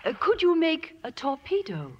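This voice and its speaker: A prim lady of iron will speaks in a high, very animated voice. Her phrasing is proper and precise, in the manner of 1950s radio drama. The sound is the dull one of a vintage broadcast. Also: normal speed. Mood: eager, scheming.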